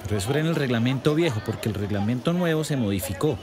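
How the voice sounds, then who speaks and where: An actor playing a pressured but reasonable school principal with a Colombian accent, firm and protesting, in a radio drama. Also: male voice, medium pitch, animated, racing, a crowd in the background, close to an everyday voice.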